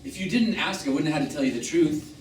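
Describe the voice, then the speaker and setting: A man's voice: fairly high pitch, quick pace, close to an everyday voice. An actor on stage playing a curt, dismissive, unfaithful man in his fifties who is on the defensive in a live drama. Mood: combative, scornful. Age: 50s. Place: small theatre, recorded from the audience. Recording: echoey, noisy stage recording from far off.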